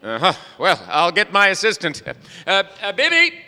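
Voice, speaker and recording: A goofy, bumbling wizard in a comic stage radio play, hesitant and spaced-out. His voice is highish and swings widely in pitch, ringing through a reverberant hall. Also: wildly swooping pitch, moderate pace, bright, forceful tone, a little hiss or hum, full character voice. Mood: flustered, hesitant. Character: foolish, easygoing, laid-back bumbling wizard. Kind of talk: comic audio theatre acting before a live audience.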